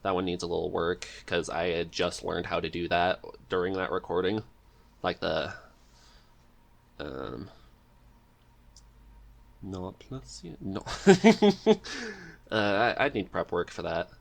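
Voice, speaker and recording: A man with a fairly low voice hosts a relaxed podcast conversation. He talks casually and jokingly, with a giggly streak. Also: slow, vocal fry, pauses often. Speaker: podcast host. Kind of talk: casual interview chat.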